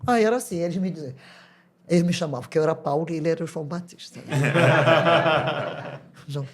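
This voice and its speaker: Older female pastor, Brazilian Portuguese accent, animated storyteller who breaks into laughter and acts out the voices in her stories. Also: deep voice, a little fast, slightly rough. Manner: animated, laughs often, acts out quoted dialogue. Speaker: pastor, podcast guest. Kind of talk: testimony storytelling.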